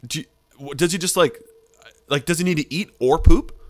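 A man's voice: on the high side, normal speed. A man hosting a gaming video, giving jokey, exaggerated reaction commentary. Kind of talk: excitable reaction commentary with joking read-outs.

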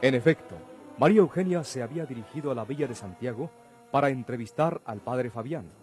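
A man's voice: mid-range voice, fairly quick, a little mellow, soft background music. A man reading scripted narration for a radio drama.

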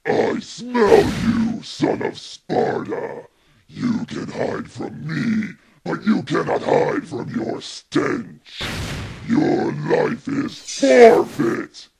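A man's voice: sits high, huge pitch swings, drawn-out words, darkish tone, full character voice. A man voicing a huge, vengeful beast demon with a growling voice and theatrical, whiny threats in a parody game dub. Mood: menacing. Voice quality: gravelly, growling. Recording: game music under the voice.

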